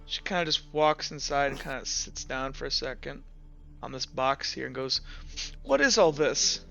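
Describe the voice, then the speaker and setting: A man improvising narration as a tabletop game master in a casual, halting way. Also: mid-range voice, flat delivery, vocal fry, pushed and bright, dull audio, soft background music. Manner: casual, halting, says "like" and "kinda" constantly.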